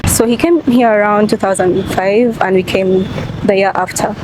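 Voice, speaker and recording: A young woman from Nigeria with a Ugandan English accent, answering interview questions in short, soft replies. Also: mid-range voice, fairly even intonation, moderate pace. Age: young adult. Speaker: interview guest. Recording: street traffic noise behind.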